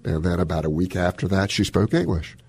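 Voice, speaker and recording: A husky-voiced man co-hosting a health radio show, chatting and telling anecdotes in an animated, folksy way. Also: low voice, a little fast, no echo. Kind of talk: casual talk and anecdotes. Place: radio studio.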